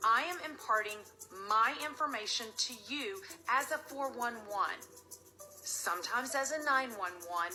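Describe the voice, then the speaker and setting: A woman in her forties with a high voice, arguing back hard and defending herself bluntly. She hammers her points and won't give an inch. Music hums underneath. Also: measured pace. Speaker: reality TV cast member. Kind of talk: heated reality TV argument.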